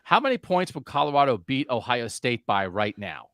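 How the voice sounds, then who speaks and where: A man hosting a sports podcast, talking casually in an animated way and asking his co-host questions. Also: medium pitch, moderate pace, no echo, clean studio sound.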